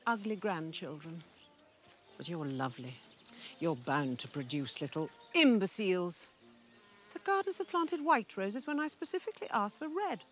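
A woman's voice: on the low side, very animated, moderate pace, a bit bright, faint music behind. A woman with an English accent playing a snobbish, imperious older aristocrat in a period film. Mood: haughty.

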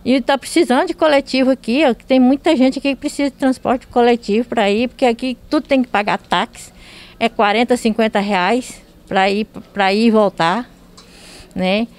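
Brazilian lady at a protest outside, kinda high voice, stumbles and repeats stuff. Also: steady tone, darkish tone. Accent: Brazilian Portuguese. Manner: halting, repeats herself, earnest complaint. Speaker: resident at a protest. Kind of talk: street interview. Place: outdoors at a health post door.

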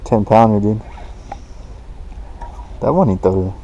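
A man's voice: lowish voice, normal speed, warm tone, muffled recording, a little hiss or hum. A man filming himself from a boat on a lake, teasing his friend and switching between English and Spanish as he reels in fish. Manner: joking, teasing, talks to the fish. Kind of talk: casual fishing banter mixing English and Spanish. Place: open boat on a lake, outdoors. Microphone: head-mounted action camera.

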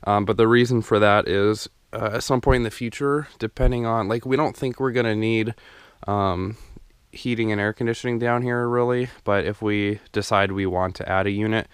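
A man's voice: lowish voice, steady tone, moderate pace, hardly any pauses, smooth, no room sound. A young man casually narrating a walkthrough of his unfinished basement renovation, rambling with many ums and restarts, recorded on a handheld camera. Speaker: DIY home renovation vlogger. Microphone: handheld camera mic, filming as he walks.

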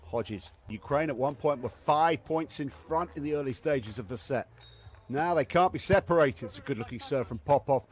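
A man's voice: mid-range voice, normal speed. A man giving live beach volleyball commentary in clipped phrases that swell on big points. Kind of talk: live sports play-by-play commentary.

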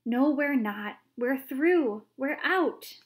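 A woman voicing an eccentric, excitable male chocolate maker who gushes with theatrical exclamations. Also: high-pitched, pitched above the performer's own voice. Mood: exuberant. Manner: gushing, theatrical, rapid exclamations.

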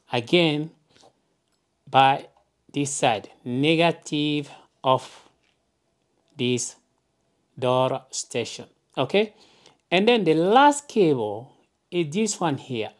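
A woman with a low voice talks through a practical demonstration at a slow pace. She explains things in simple steps, often punctuating them with a checking okay, as an instructor would. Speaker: tutorial presenter. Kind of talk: hands-on instructional narration. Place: indoors, at a wooden work table.

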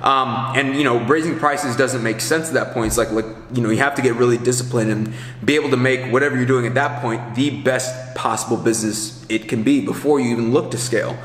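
A man's voice: medium pitch, brisk, fairly bright tone, a little hiss or hum. A man in his thirties giving casual, unscripted coaching advice in a rambling, conversational way, thinking aloud as he goes. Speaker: business coach for barbers. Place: large indoor kitchen area with hard surfaces. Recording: echoey, reverberant hard-walled room.

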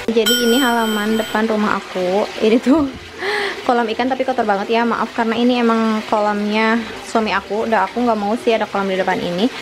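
A woman's voice: a little high, noisy background. A young adult woman, chatting casually and explaining things to viewers as a home-tour vlogger. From Indonesia. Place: her own small house, front yard then living room. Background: background music.

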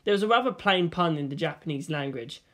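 A woman's voice: low voice, lively intonation, quick pace, a bit bright. A woman narrator reading a scripted story as an audiobook.

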